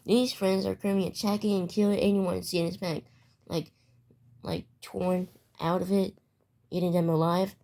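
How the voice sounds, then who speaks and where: Halting woman reading mock emergency news, lowish pitch. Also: flat delivery, mellow. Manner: halting, stumbling, repeats phrases. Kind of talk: amateur mock emergency broadcast and news report.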